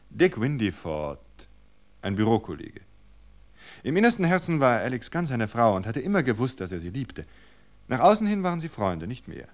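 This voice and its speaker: A man reading a mystery story aloud for radio in a calm, measured way. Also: on the low side. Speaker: reader.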